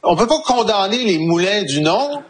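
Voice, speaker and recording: A man's voice: high voice, big pitch swings, moderate pace, slightly rough. A man discussing the news as a broadcast panelist.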